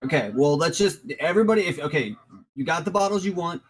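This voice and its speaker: A man acting as dungeon master, explaining rules and scenes in a loose, halting way. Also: fairly high pitch, lively intonation, slightly rough. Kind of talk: casual tabletop game narration.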